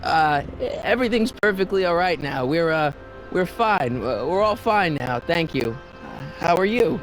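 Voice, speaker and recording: An actor voicing an exasperated, flippant teenage son with an American accent, in a hesitant, incredulous manner for a video game. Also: male voice, a little high, lively intonation, unhurried, faint music behind, low-quality recording. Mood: awkward, flippant.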